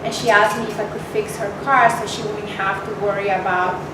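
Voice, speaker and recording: A woman's voice: mid-range voice, a little fast. Woman speaker from Mexico, echoey distant hall. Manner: candid, personal, animated retelling. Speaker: guest speaker. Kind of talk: storytelling talk to an audience. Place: event hall with audience. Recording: echoey hall, mic from far off, noisy.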